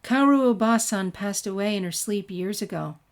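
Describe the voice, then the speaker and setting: A woman's voice: medium pitch, normal speed, voice pushed up, natural voice. A woman voicing a bold, confident young female ninja in a fan fiction reading, forthright and eager. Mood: assured. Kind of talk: fan fiction character dialogue.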